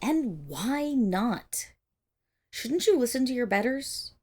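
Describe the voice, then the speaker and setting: A woman's voice: a little high, big pitch swings, takes it slowly, a little mellow, full character voice. A woman playing a haughty, indignant young wolf monstergirl of noble birth in a dramatic ASMR roleplay, speaking disdainfully straight to the listener. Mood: flustered, demanding.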